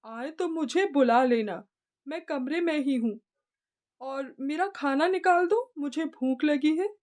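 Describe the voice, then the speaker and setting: A scornful grown son from a moral tale, acted by a woman, brusque and threatening. The voice is high for a man and measured in pace, with a rude, hot-tempered edge. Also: darkish tone. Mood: indignant, curt.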